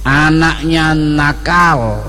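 A man with an East Javanese accent from Surabaya, preaching in a mosque with jokey, animated prayers for the congregation. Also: on the high side, drawn-out words. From East Java. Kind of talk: sermon with humorous prayers. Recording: reverberant mosque hall, faint ambience.

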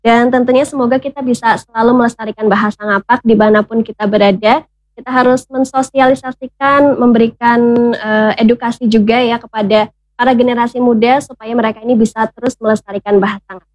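Female radio host, slightly high voice, close dry studio mic, courteous moderator. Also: steady tone, fast talker, dry recording. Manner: polite, wrapping up and thanking guests. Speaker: radio talk show host. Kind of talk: moderating a culture talk show. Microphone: studio microphone.

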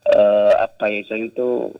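A man's voice: fairly low pitch, little pitch movement, a little slow, muffled recording. A man with a Sumatran-tinged Indonesian accent, talking as an interview guest about his work as a writer and journalist, hesitant and full of fillers. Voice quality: gravelly, hesitant with many fillers. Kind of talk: casual interview talk.

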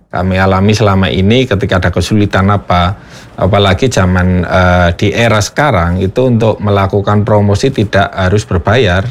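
Measured man with a lowish voice. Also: steady tone. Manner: measured, hesitant, with frequent fillers. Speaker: panel guest, village tourism practitioner. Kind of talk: panel discussion.

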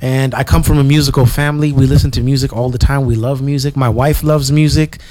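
A young man from Zimbabwe, a musician guest in a relaxed interview, easygoing and full of "you know"s. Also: medium pitch, fast. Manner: easygoing, repeats himself, many "you know"s.

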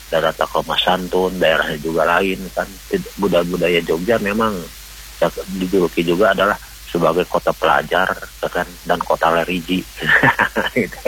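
A man with a low voice speaks as a radio caller over a faintly hissy line. He rambles earnestly, repeating himself and halting as he urges his point. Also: a bit bright, rough recording. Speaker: radio call-in listener. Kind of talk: rambling earnest opinion talk.

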